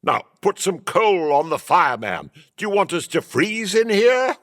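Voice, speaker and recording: A man's voice: high voice, sing-song, unhurried, fairly bright tone, faint music behind, exaggerated voice. An older man acting in a radio play as a blustering old miser who has turned generous. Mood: jovial gruff. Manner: blustering, theatrical delivery. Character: reformed old miser boss, gruff bluster turning generous.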